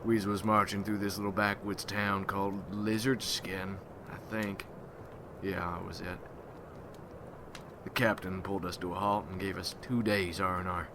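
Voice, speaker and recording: Haunted rural war veteran turned monster hunter, played by a man: deep, even delivery, slow somber ASMR storytelling. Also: noisy background. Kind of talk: ASMR roleplay monologue.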